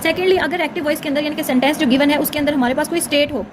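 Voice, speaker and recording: A woman's voice: high voice, steady tone, very fast, background noise. A woman teacher explaining in an informal, instructional tutorial style, often checking in with short confirming tags. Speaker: English grammar teacher.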